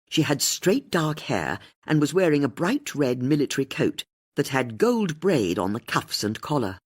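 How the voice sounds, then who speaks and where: Husky, expressive woman narrating a mystery. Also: rumbling low. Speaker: audiobook narrator.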